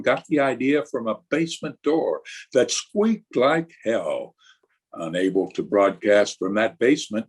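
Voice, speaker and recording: A man's voice: fairly low pitch, husky. A man delivering a scripted introduction as the announcer of a radio drama.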